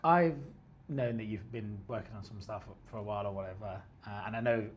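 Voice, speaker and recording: A man's voice: lowish voice, fairly quick, a little hiss or hum. A man hosting a podcast, interviewing in a casual, chatty way. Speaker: podcast host. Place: company office used for podcast recording.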